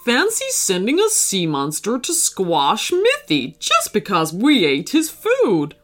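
A woman's voice: mid-range voice, wildly swooping pitch, takes it slowly, faint music behind. A woman voicing an earnest, caring female dog in a children's story, with an emphatic manner. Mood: agreeing.

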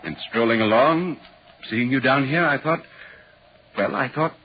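An actor playing a polite, inquisitive newspaper reporter recounting an eerie tale in dramatic 1940s radio style. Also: male voice, medium pitch, measured pace, close to an everyday voice. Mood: curious, polite. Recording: dull, hissy 1940s radio recording.

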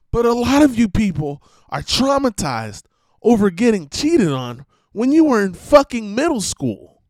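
Emphatic, slightly hoarse man ranting. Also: high-pitched, darkish tone, no echo, studio quality. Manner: emphatic, preachy, swearing, repeats himself. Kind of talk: casual monologue rant.

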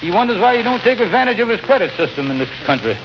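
An actor playing a scheming, glib husband with blustering, folksy, ungrammatical speech in an old radio comedy. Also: male voice, sits high, big pitch swings, very fast. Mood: smooth-talking persuasion. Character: scheming, glib husband, fast-talking buddy of dubious ideas. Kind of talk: 1940s-50s radio comedy acting. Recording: noisy, muffled old radio broadcast.

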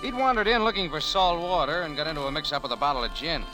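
An American actor playing a tough, world-weary cafe owner in 1940s radio style, with clipped, slangy wisecracks and guarded narration. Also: male voice, on the high side, expressive, quick pace, music in the background, close to an everyday voice. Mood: wry, brooding. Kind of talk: 1940s radio acting with first-person narration. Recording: old 1940s radio recording.

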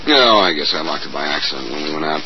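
An actor playing a wisecracking, self-assured amateur detective in 1940s radio drama style, with glib, bantering delivery. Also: male voice, a bass voice, animated, quick pace. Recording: muffled, noisy old radio recording.

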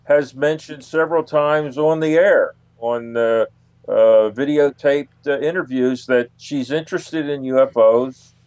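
A man explaining things as an interview guest, in a halting way that often repeats words. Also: mid-range voice, a little mellow, clean tone, no echo. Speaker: guest, UFO researcher and author.

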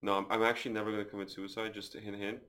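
A man with a fairly low voice hosts a casual podcast. He rambles loosely from one thought to the next and throws in crude jokes. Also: little pitch movement, racing, bright, forceful tone. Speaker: podcast host.

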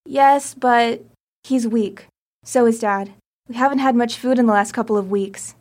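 An earnest teenage girl pleads in a higher voice, as a woman plays her. She comes across as a desperate survivor in an audio drama. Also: measured pace, a little mellow. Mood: pleading.

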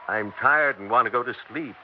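An actor playing a tired, dismissive husband in a 1960s radio drama. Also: male voice, medium pitch, brisk, a little hiss or hum, close to an everyday voice. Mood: weary. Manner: tired, curt. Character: weary, gruff husband Carl, cold and dismissive. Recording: dull vintage radio recording.

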